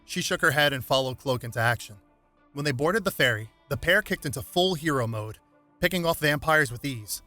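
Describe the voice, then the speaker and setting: A man's voice: a little high, animated, fairly quick, pushed and bright, soft background music. A man reading a superhero story aloud as scripted dramatic narration.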